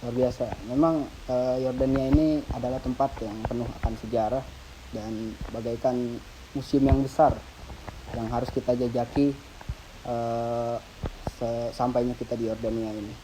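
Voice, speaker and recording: A man interviewing a guest, asking formal, measured questions. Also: mid-range voice, little pitch movement, background noise. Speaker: interviewer, organization president.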